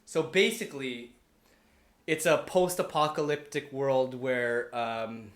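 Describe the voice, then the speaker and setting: A man's voice: mid-range voice, slow. Rambling man in an echoey room. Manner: rambling, often losing his thread and correcting himself. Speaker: podcast panelist pitching a movie adaptation. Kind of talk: casual, unscripted storytelling among friends. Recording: roomy, echoing room.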